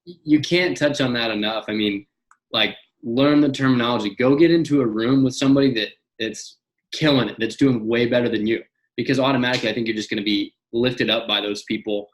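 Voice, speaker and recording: A young man in his twenties, a podcast guest talking in an energetic casual way, often repeating and restarting words. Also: medium pitch, a little fast, poor audio. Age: young adult. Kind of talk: casual interview chat.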